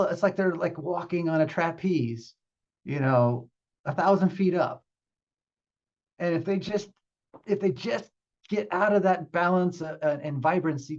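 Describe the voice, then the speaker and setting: A man teaching meditation off the cuff, explaining ideas in a halting, reflective way as he talks with a group. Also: sits high, darkish tone, dull audio. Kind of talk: unscripted spiritual teaching with group questions.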